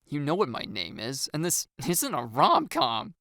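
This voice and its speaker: Gravelly, expressive audiobook narrator, close and dry. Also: mid-range voice, measured pace, no room sound. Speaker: story narrator. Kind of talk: first-person audiobook narration with acted dialogue.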